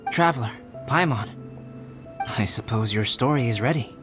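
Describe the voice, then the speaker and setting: An actor playing a calm, polite, scholarly young male alchemist in a video game, speaking in a measured, formal, unruffled way. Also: mid-range voice, over loud music, natural voice.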